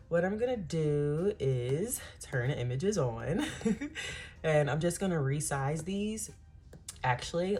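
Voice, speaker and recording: A woman in her thirties talks through her digital planning in a relaxed, rambling voice-over full of ums and asides. Her voice sits very low, and she sounds a little stuffy from a cold. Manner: chatty, many ums, Southern-style "y'all". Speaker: planning channel host.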